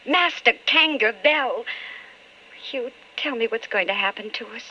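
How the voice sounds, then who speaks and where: An actress playing an anguished, impatient politician's wife in an old-time radio drama. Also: female voice, on the high side, lively intonation, measured pace, sharp tone. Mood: anxious, yearning. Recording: muffled old radio broadcast with hiss.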